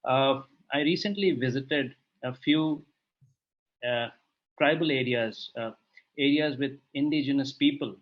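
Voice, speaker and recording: A man's voice: medium pitch, measured pace. A man with an Indian accent sharing reflections as a participant in an online discussion, with dull lo-fi sound. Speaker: panel participant. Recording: dull lo-fi online call audio.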